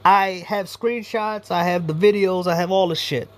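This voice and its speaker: A man's voice: high-pitched, fairly even intonation, normal speed. A man giving a blunt, profane, rambling commentary monologue as a YouTuber.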